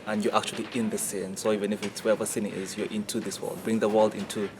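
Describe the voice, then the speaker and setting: A man's voice: fairly low pitch, little pitch movement, fast talker, noisy background. A man from Uganda, an animator, answering interview questions casually with hesitant fillers and restarts. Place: busy studio workspace. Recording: crowd chatter behind.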